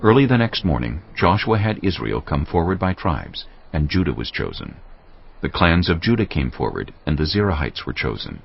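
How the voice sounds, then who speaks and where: Very deep, gravelly male narrator. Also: sing-song, lo-fi sound. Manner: measured, dramatic storytelling. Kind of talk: scripted dramatized Bible narration.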